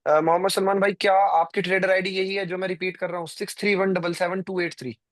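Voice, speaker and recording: Guy in his 20s hosting a live trading stream, kinda high voice, talks loose and rambly. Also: level tone, fast talker, fairly bright tone, dry recording. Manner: rambling, filler-heavy, addresses viewers directly. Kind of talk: casual live-stream chat.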